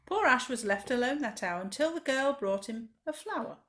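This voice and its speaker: A woman's voice: high-pitched, expressive, normal speed, a bit bright. A woman reading a rhyming children's story expressively, with dramatic emphasis, as a library storyteller.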